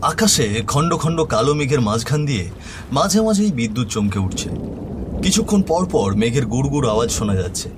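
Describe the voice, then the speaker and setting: A man's voice: medium pitch, very animated, a little fast, background noise. A man narrating a scripted horror story in a dramatic storytelling style.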